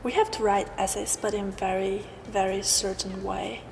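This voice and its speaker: A woman's voice: mid-range voice, flat delivery, moderate pace, creaky, a little mellow. Young Chinese woman, noisy ambience. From China. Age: young adult. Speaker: first-year student. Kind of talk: documentary interview.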